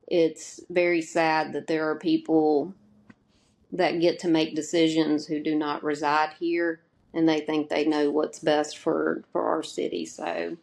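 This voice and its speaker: A woman's voice: low voice, vocal fry, darkish tone. A woman from Mississippi, speaking as an interview guest in an earnest, plainspoken way. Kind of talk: casual interview.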